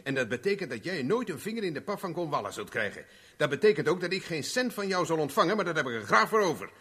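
An actor playing a cocky, shrewd private investigator in a 1970s radio play, mocking and self-assured. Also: male voice, a little high, very animated, fast talker, fairly bright tone, husky, long reverb tail, full character voice. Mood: triumphant, menacing.

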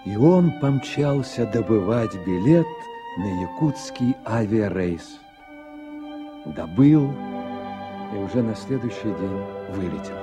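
An actor playing a reflective literary narrator in a radio play, with a husky voice. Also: male voice, lowish voice, animated, slow, over music.

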